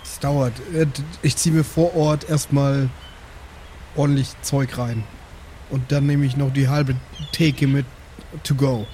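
A man improvising his character in a tabletop role-playing game in a relaxed, laid-back way. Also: mid-range voice, a little slow, slight background noise.

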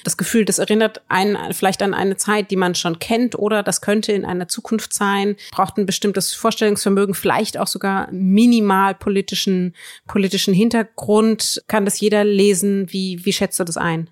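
A woman's voice: medium pitch, fairly even intonation, normal speed, no echo, clean studio sound. A woman, co-hosting a podcast and recommending books in a conversational way. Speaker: book podcast co-host.

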